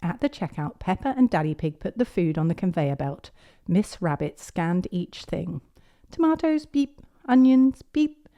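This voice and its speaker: A woman reading a picture book aloud to young children in an expressive storytelling manner. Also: on the low side, moderate pace. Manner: expressive, clearly paced delivery of picture-book narration.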